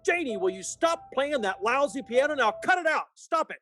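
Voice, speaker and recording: A man's voice: extremely high pitch, lively intonation, normal speed, fairly bright tone, soft background music. An amateur actor playing a distraught, short-tempered family man in an old-time radio play, agitated and stammering. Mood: irritated, angry.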